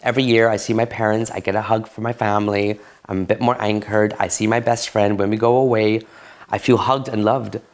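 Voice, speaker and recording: A man's voice: fairly low pitch, flat delivery, brisk. A man, telling his personal story in an interview, candid and reflective. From Barbados. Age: late 30s.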